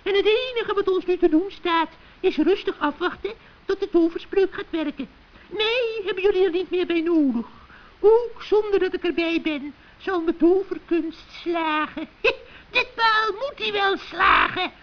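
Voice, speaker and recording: A performer voicing a gleeful, scheming old witch in a children's radio play, shrill and cackling, with taunting mock sweetness. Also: measured pace. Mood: gloating. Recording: dull, muffled old radio recording.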